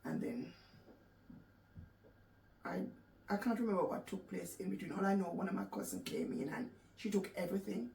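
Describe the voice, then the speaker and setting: Gravelly Jamaican woman, distant and echoey. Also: mid-range voice, moderate pace, long pauses, a little mellow. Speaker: interview guest. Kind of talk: personal storytelling in an interview. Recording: echoey room, distant mic, faint background noise.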